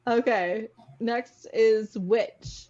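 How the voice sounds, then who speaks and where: A woman's voice: on the high side, fairly even intonation, drawn-out words, a little mellow, lo-fi sound. A woman hosting a word game in casual group chat. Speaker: host running a word game.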